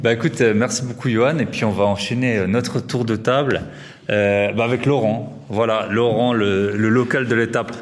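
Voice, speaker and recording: A man's voice: mid-range voice, fairly even intonation. Chatty Alsatian man in an echoey hall. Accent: Alsatian French. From Alsace, France. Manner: chatty, jokey, quick interjections. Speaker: podcast host. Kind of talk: casual interview. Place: large high-ceilinged room.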